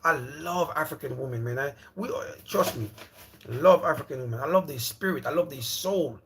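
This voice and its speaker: A man hosts a casual livestream from home. His voice sits fairly high for a man. He rambles and repeats himself in a loose, friendly way, calling viewers brother and bro. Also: animated, normal speed, fairly bright tone, a little hiss or hum. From Jamaica. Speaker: livestream host.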